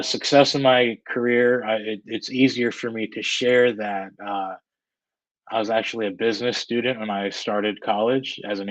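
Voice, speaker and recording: A man's voice: on the low side, no echo, highs cut off. An American man in his thirties giving casual, candid interview answers as a guest, with a hesitant, reflective delivery. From Georgia, United States. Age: 30s. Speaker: guest, freelance screenwriter and director.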